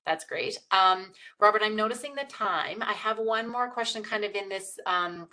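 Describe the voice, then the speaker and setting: Bright-voiced woman hosting a panel. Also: medium pitch, moderate pace. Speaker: panel host. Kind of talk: hosting a discussion.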